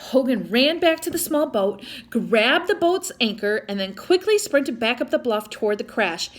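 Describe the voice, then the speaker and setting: A woman's voice: a little high, big pitch swings, normal speed. A woman reading a children's picture book aloud, expressively, with chatty asides to young listeners. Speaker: storytime reader.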